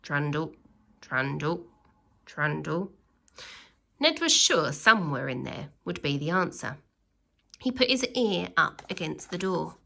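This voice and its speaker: A woman reading a children's story aloud expressively, with theatrical, drawn-out emphasis on key words. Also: low voice, very animated, vocal fry, sharp tone.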